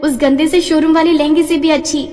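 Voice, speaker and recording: A woman voicing cheerful little sisters in a cartoon story, excited and chirpy. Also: sits high, brisk, pitched above the performer's own voice, lightly acted. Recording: reverberant with soft background music.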